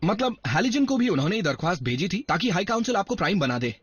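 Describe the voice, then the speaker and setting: Eager young robot soldier, high-pitched. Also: male voice, very animated, quick pace, breathy edge, exaggerated voice. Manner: brash, earnest, boastful. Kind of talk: animated series dub acting. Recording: dull lo-fi dub under loud music.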